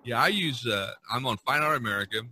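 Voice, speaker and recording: A man's voice: medium pitch, animated, fast talker, pushed and bright. A man hosting a casual podcast about art, talking in a rambling, enthusiastic way and repeating himself. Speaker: podcast host and artist.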